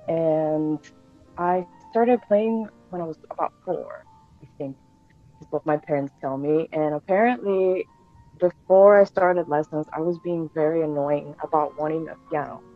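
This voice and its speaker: A woman's voice: low voice, unhurried, faint music behind. A woman in her thirties, born in Bulgaria and raised in Canada, chatting casually as a guest over a video call, with many fillers and restarts. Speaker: guest pianist. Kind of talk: casual interview chat. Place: home room indoors. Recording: tinny video call audio.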